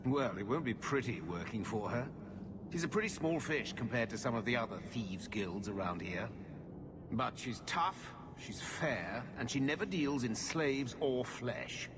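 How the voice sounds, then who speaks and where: An actor playing a shady, middle-aged uncle in a video game, blunt and world-weary. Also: male voice, medium pitch, expressive, unhurried, background noise, natural voice. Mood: blunt, matter-of-fact. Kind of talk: video game cutscene acting.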